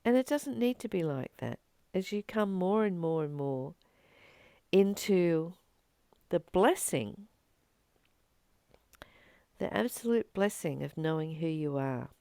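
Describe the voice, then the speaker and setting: A woman speaking as a spiritual teacher, reflecting informally on a reading in an earnest, expressive way with emphatic repetition. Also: on the low side, takes it slowly, creaky, pauses often, smooth, dry recording, studio quality. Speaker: spiritual teacher and channel host.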